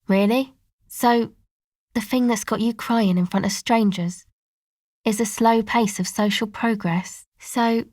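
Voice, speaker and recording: A laid-back young woman from a video game, played by an actress, with a mid-pitched voice. She talks in casual slang with a sardonic, deadpan delivery. The sound is very dry, with no room around it. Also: no room sound, lightly acted. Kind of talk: video game character dialogue.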